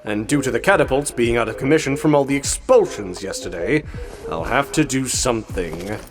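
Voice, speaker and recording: A man acts out a suave male spy in an anime parody dub. He is scheming and dryly sarcastic, with a mid-pitched, swinging delivery over music. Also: animated, brisk, natural voice. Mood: grim, scheming. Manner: dry, sarcastic. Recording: music under the voice.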